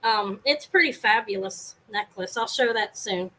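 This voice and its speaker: Brief: woman, live-sale host, loud carrying voice, rambly and self-interrupting, home setup. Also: mid-range voice, unhurried. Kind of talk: casual live sale chat. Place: home apartment, fan running.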